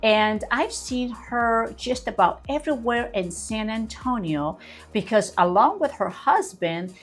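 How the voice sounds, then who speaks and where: A woman host, her voice sitting at an ordinary middle pitch. She gushes warmly over her guest, effusive and full of compliments, with soft music running faintly behind her. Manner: gushing, effusive, lots of compliments. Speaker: podcast host. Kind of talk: interview hosting. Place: studio.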